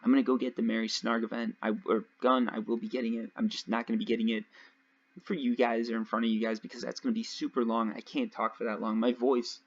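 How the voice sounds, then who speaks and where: A young man casually commentating his gameplay nonstop, his voice a little raspy from long talking. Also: mid-range voice, level tone, fast talker. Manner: chatty, rambling, nonstop talk with fillers. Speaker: let's play host. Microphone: home desk mic. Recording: dull lo-fi home mic.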